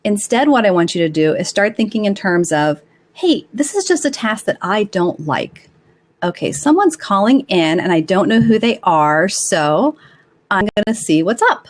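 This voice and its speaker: A woman's voice: mid-range voice, very animated, moderate pace. Encouraging woman giving live advice. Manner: encouraging, explanatory. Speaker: live advice show host. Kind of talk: conversational advice talk to live audience. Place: live online call-in broadcast.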